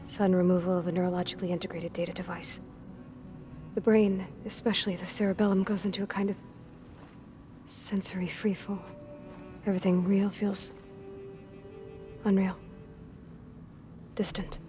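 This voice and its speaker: Game role: fragile, precise, anxious young female clone, played by a woman, halting delivery, faint music behind. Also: mid-range voice, level tone, mellow, natural voice. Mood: subdued, clinical. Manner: halting, clinical, precise wording. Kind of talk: dramatic video game acting.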